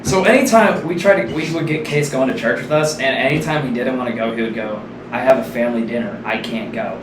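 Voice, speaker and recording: A man's voice: medium pitch, fast. A young man chatting casually with friends on a podcast in a teasing, jokey manner. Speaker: podcast co-host or guest. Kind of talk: casual joking chat with friends. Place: small indoor room. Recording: echoey small room, distant mic, noisy.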